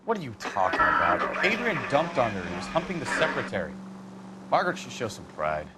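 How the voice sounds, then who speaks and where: A man's voice: medium pitch, wildly swooping pitch, a little fast, very noisy. An actor playing a world-weary, wisecracking male police detective with a sarcastic manner in a game cutscene. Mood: scornful. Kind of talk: video game cutscene acting.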